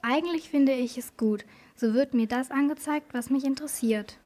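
A woman's voice: high voice, moderate pace, dark tone. A woman presenting on a children's radio programme, reading a scripted explainer. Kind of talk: scripted explainer with posed questions.

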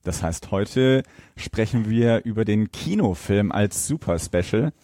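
A man's voice: lowish voice, expressive, a little slow, dark tone, dry recording, clean studio sound. A man joining a podcast as guest co-host, talking in a casual conversational style.

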